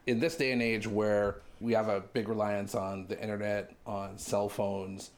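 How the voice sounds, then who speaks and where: A man with a fairly low voice conducts a radio interview. He puts his questions in a conversational, straightforward style. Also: little pitch movement, a little slow.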